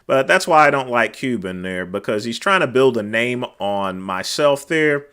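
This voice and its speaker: A man hosting a casual livestream, talking to viewers in a loud, carrying voice, rambling with many fillers and letting phrase ends drop off quietly. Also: medium pitch, expressive, normal speed, clear, resonant tone. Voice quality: naturally loud, cutting voice. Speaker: livestream host. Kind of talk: casual chat reading viewer comments. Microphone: desk microphone.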